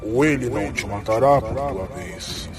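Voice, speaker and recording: A man's voice: medium pitch, close to an everyday voice. A man playing a scornful, menacing undead wraith lord with a cold voice in a fantasy audio drama. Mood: cold menace. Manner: slow, archaic, threatening speech. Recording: voice under loud music.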